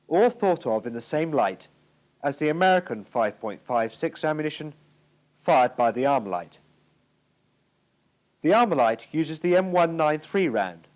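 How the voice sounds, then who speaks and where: A British man giving formal, precise scripted narration for an instructional military training film from the 1980s. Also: fairly high pitch.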